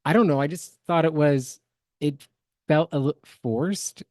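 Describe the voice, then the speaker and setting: A man co-hosting a podcast, giving casual critique in a hesitant, measured way. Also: a little high, darkish tone, no echo, studio quality. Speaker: podcast co-host.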